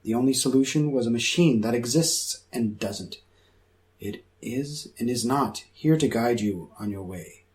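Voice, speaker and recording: A man's voice: mid-range voice, measured pace, a little mellow, natural voice. A man playing an omnipotent, quirky supercomputer in a comic radio drama, speaking in pompous, convoluted and oddly formal sentences. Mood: pompous, instructive.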